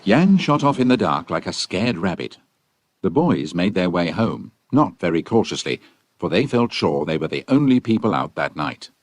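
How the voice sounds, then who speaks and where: Deep-voiced man narrating a children's story. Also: sing-song, moderate pace. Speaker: audiobook narrator.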